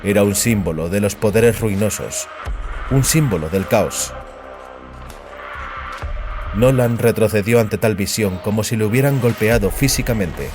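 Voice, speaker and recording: A man's voice: lowish voice, measured pace, long pauses, music in the background. A man giving a dramatic narration of scripted fiction as an audiobook narrator.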